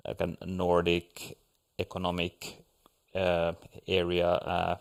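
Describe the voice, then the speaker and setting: Deep gravelly panelist from Finland. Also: male voice, completely flat delivery, takes it slowly. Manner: hesitant, many restarts and fillers. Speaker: seminar panelist. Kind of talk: panel discussion.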